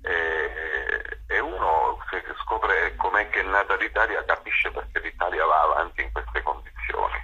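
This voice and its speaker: A man with an extremely deep voice speaks as a radio guest. His tone is bright and sharp yet husky. He rambles with frequent restarts and fillers. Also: unhurried, lo-fi sound. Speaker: theatre author, director and actor as guest. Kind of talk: radio interview, discursive talk.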